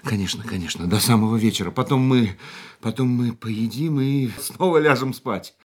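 An actor playing a devoted man in a radio play, eager and tender in a halting way. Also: mid-range voice, a little mellow, close to an everyday voice. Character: adult man, ardent, devoted lover.

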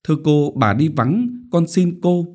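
Guy doing a meek rickshaw man begging nervously, voice pitched up a bit. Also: a little slow, mellow, lightly acted. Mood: pleading. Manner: timid, pleading. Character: humble, fearful male rickshaw puller.

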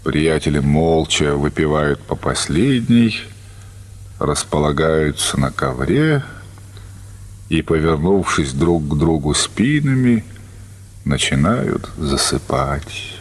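A man's voice: rumbling low, big pitch swings, slow. A man reading literary narration as the storyteller of a radio play.

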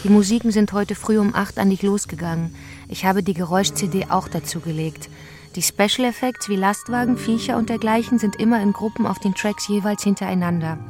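A woman reading the narration of a radio feature in a calm, measured way. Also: medium pitch, soft background music.

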